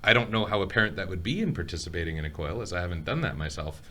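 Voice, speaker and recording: Deep-voiced hesitant man. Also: lively intonation, pushed and bright. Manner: hesitant, pauses and restarts. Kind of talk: casual podcast conversation.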